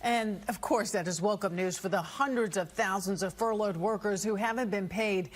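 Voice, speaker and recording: Female TV news anchor, American, mid-pitched, scripted delivery. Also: normal speed.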